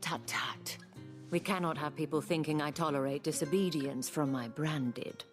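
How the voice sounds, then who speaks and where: An actress playing a sly, elegant, teasing madam in a video game, with a sultry, half-whispered, genteel delivery. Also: female voice, sits low, steady tone, moderate pace, lightly acted. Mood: commanding, teasing. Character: sly, elegant madam of a pleasure house, teasing and self-assured. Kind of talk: video game cutscene acting. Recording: music behind the voice.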